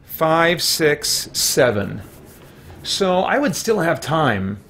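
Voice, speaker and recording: Man, middle-aged, mid-range voice, casual livestream talk, thinking out loud, home room, faint noise behind. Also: mid-range voice. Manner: musing, thinking aloud. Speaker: video game streamer. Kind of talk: casual unscripted livestream chat. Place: small home room with bookshelves, indoors.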